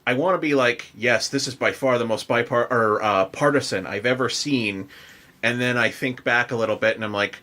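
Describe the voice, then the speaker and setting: A man's voice: medium pitch, moderate pace, bright, forceful tone. A man hosting a podcast in a casual chat, rambling his way through thoughts with plenty of filler "like". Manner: rambling, frequent "like". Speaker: podcast host.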